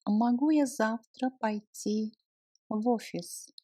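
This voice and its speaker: A woman narrating a language lesson, reading short scripted phrases deliberately and separating the words for learners. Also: on the high side, mellow, dry recording, clean studio sound.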